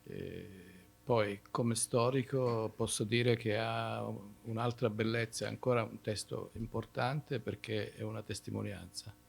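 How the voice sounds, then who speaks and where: Gravelly, low-voiced man speaking as guest historian. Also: steady tone, moderate pace, a little hiss or hum. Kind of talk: unscripted event talk.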